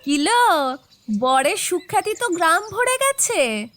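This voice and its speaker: A teasing older woman, played by a woman, speaks in a very high voice that moves up and down a lot. She gently scolds as she jokes. It is an audio drama. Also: very animated, slow, heavily acted.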